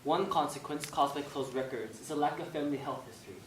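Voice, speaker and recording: A man's voice: fairly high pitch, fast. A man delivering scripted, informational narration on stage. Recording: echoey room, distant mic, faint noise.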